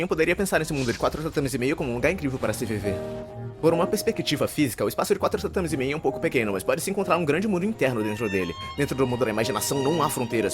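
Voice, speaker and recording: Guy acting as nerdy neurotic brazilian college shut-in, anime dub narration, talks fast n wordy, very up and down, bit pompous. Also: mid-range voice, close to an everyday voice. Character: neurotic, verbose young male university student shut-in narrator. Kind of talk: dubbed anime narration, rapid inner monologue.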